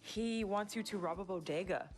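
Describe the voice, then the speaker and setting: A woman's voice: mid-range voice, normal speed, music in the background, lightly acted. An American woman playing a sassy, proud girlfriend of a star athlete in a video game cutscene, haughty and taunting. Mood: snide.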